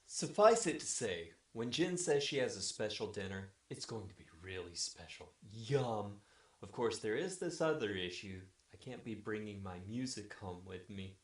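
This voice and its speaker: A man's voice: fairly low pitch, animated, moderate pace. A man telling a scripted comic story in the first person, with a mock-formal bedtime storyteller delivery. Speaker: storyteller, webcast regular. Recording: roomy, echoey voice recording.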